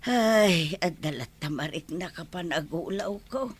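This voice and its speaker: A woman's voice: on the low side, lively intonation, a little slow, breathy edge. A woman acting an exasperated village woman in an Ilocano radio family comedy, with an Ilocano accent. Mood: plaintive.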